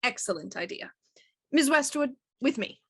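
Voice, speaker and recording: Woman playing shrewd bossy inspector in radio whodunit, highish voice, dry sound, analytical and brisk. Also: animated, no room sound, exaggerated voice. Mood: brisk, commanding. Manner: crisp, analytical, authoritative. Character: brisk, shrewd, commanding police inspector in Victorian mystery. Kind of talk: radio mystery acting.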